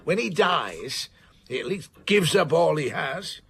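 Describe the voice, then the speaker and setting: A man's voice: on the high side, very animated, a little slow, vocal fry, fairly bright tone, breathy edge, heavily acted. An actor playing an imposing, blunt older man of letters, formal and emphatic, moody yet affectionate, in a period radio drama. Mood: emphatic, scornful.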